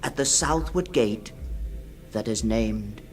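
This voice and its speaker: A male storyteller narrates dark fantasy at a very slow pace. His delivery is solemn and portentous, with deliberate pauses between short phrases. Faint music sits behind the voice. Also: fairly low pitch. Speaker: story reader. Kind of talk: scripted dramatic narration of a fantasy tale.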